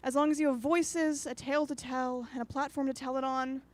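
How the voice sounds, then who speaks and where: A woman's voice: sits high, steady tone, a little fast, close to an everyday voice. A woman playing a reflective, whimsical storytelling host, narrating a radio anthology in a musing, theatrical way.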